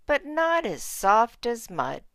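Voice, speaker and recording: A woman voicing a small, grumpy, excitable male pig who loves mud, in a storybook. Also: on the high side, takes it slowly, dry recording, clean studio sound, heavily acted. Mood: searching, grumbling.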